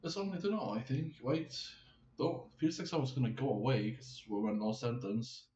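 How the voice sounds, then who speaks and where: A man chatting casually on a live stream, rambling and haltingly thinking aloud. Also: medium pitch, mellow, highs cut off. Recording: roomy echo, some background noise.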